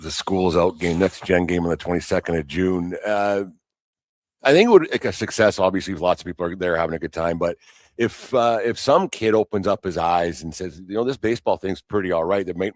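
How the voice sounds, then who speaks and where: Fast, low-voiced man hosting. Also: dry recording. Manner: rambling, repeats and restarts phrases mid-question. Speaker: sports podcast host. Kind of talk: casual unscripted sports interview.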